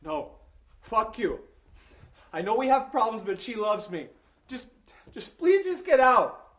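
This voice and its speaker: A man voicing a terrified, desperate man who shouts and pleads at an intruder. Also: very animated, unhurried, heavily acted. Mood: panicked pleading. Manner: shouting, voice cracking high.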